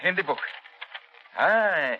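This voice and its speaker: An actor playing an anxious doctor who is a loyal conspirator, sounding urgent and a little hesitant, in 1930s radio drama style. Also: male voice, on the high side, lively intonation, slow, a little hiss or hum. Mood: grave, urgent. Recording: dull vintage 1930s radio broadcast.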